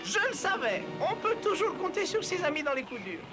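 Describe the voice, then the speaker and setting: Excitable, grumbling little cartoon sidekick, very high-pitched, dramatic, over loud music. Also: fairly quick. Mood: bossy, excited. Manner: dramatic, exclaiming, complaining. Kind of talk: French cartoon voice acting.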